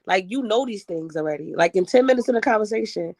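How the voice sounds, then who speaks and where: A woman's voice: medium pitch, animated, fast, darkish tone, dry recording, clean studio sound. A woman in her forties talking emphatically with casual slang in a group chat. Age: 40s.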